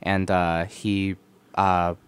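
Very low-voiced man, smooth and clear. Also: very slow. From United States (Arizona). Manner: relaxed, laughs often, many fillers. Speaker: violinist, guest. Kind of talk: radio interview guest. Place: radio studio.

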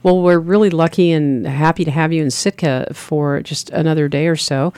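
A man hosting a morning radio interview in a studio, friendly and halting with uhs. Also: fairly high pitch, steady tone, darkish tone, clean tone, no room sound. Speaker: radio host.

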